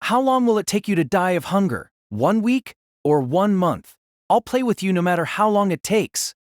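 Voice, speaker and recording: A man's voice: high voice, sing-song, normal speed, dry recording, clean studio sound. A man playing a stubborn young warrior in an audio drama, muttering grim taunts. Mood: grim defiance. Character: stubborn young male warrior, grimly taunting his foe.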